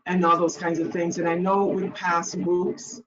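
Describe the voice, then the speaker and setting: A woman speaks at a middle pitch, her voice echoing in a roomy space and coming through dull video call audio. She gives a policy update in a thoughtful way, often hesitating as she works through her points. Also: flat delivery, unhurried, a bit bright. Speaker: deputy commissioner. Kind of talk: policy update in an online meeting.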